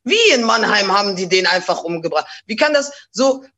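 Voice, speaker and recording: A relaxed, slangy woman rapper chatting loosely in an interview, dropping English phrases here and there. Her talk is easygoing and conversational. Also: mid-range voice, brisk. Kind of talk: casual interview chat.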